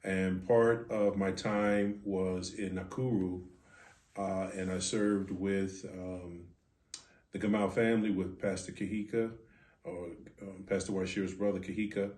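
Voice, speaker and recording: A man in his fifties from Philadelphia with an American accent, telling his story as a podcast guest in a measured, reflective way with deliberate pauses. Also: sits low, level tone, a little mellow. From Philadelphia, United States. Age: 50s. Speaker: podcast guest, board president of a ministry. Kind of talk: interview answers, personal storytelling. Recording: echoey, reverberant room.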